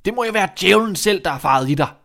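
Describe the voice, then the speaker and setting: A man's voice: medium pitch, natural voice. Storybook father, acted by a male narrator, strict, fed up with his son, blunt and reproachful. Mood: angry, shocked. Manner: scolding, blunt. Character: stern, exasperated father, scolding and ashamed. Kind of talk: fairy tale storytelling.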